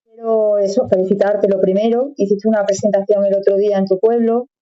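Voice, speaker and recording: A woman hosts an interview, chatty and encouraging, tossing in little tag questions. Her voice sits at a middle pitch, and the recording sounds dull and lo-fi. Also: fairly even intonation, a little fast. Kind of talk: friendly casual interview.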